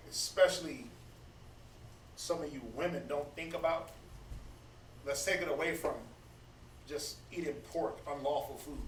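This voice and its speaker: A man in his thirties teaching scripture in a casual, preachy way, often stammering and repeating words. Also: a little high. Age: 30s. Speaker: Bible study speaker. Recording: echoey room, distant mic, faint noise.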